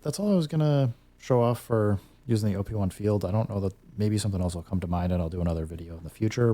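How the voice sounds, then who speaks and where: A man's voice: sits low, mellow. A man hosting a casual, unscripted music gear tutorial, rambling a little as he thinks aloud while demonstrating.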